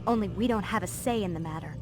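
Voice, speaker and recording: Actress as an earnest female lab assistant, medium pitch. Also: quick pace, music in the background, lightly acted. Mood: urgent, earnest. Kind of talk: video game cutscene acting.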